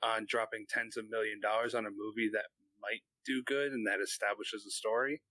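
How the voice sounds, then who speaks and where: A man chatting casually as a podcast co-host, measured and sometimes searching for the right word. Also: lowish voice, fairly even intonation, bright, forceful tone, dry recording, rough recording. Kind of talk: casual chat.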